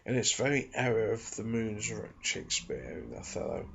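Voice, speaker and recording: A man with a low, very creaky voice reads aloud in a hushed ASMR style. His delivery is measured and soft-spoken, with a heavy gravelly fry running through it. The home recording sounds a little dull. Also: very animated, noisy background. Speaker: ASMR reader and channel host. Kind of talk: ASMR reading aloud from a folklore book. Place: small home studio in a back bedroom.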